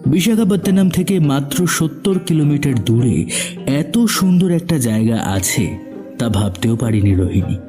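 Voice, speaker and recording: A man narrating a scripted suspense story as the narrator of an audio drama. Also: medium pitch.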